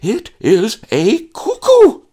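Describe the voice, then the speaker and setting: A man voicing a spoiled, theatrical young woman in an audiobook, shrill and melodramatic. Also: wildly swooping pitch, slow pace, warm tone, slightly rough, exaggerated voice. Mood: insistent. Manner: shrieking, melodramatic. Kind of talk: audiobook character voice.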